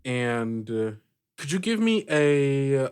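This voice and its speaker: A man narrating and chatting through an improvised tabletop role-playing game as game master, with deliberate pauses. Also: medium pitch, big pitch swings, noisy background. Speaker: game master and player in an actual-play podcast.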